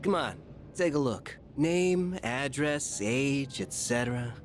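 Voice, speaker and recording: A man's voice: medium pitch, lively intonation, slow pace, a little hiss or hum. A man playing a smug, streetwise hired avenger in a video game, with a casual, mocking drawl.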